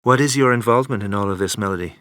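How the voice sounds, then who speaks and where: A man's voice: fairly low pitch, rapid-fire, a bit bright, dry recording, studio quality, natural voice. A man playing a suave, unflappable secret agent in a spy video game. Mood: cool, unflappable.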